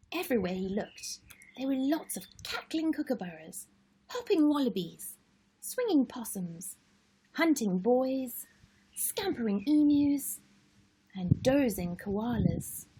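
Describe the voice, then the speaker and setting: Very husky woman reading slowly, expressively. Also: high voice, mellow, low-quality recording. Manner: dramatic pauses and emphasis for children. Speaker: story-time host and reader. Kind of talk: expressive children's storybook narration.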